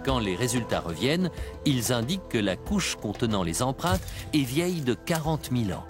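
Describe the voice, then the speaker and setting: Lively male documentary narrator over music. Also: medium pitch. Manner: measured, explanatory storytelling. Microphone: studio voice-over mic. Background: background music.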